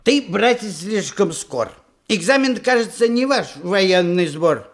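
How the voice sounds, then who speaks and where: A man's voice: sits high, expressive, unhurried. A male actor playing a fussy, cowardly and pompous guardian and tutor in a radio comedy, hesitating and stammering in theatrical verse. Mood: grudging, wary. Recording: music behind archived radio play.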